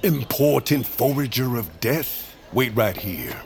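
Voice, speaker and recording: Sly, grumpy old shopkeeper, swooping pitch. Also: male voice, animated, slow pace, a little hiss or hum. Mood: sly, amused. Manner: sarcastic, gruff. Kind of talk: audio drama acting.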